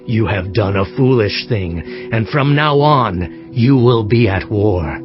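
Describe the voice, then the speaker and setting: A man's voice: fairly low pitch, lively intonation, slow pace. A man playing a stern, indignant prophet delivering an emphatic rebuke in a dramatized scripture audiobook. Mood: grave, condemning. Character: stern male seer rebuking a king, indignant. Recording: dull sound with faint music.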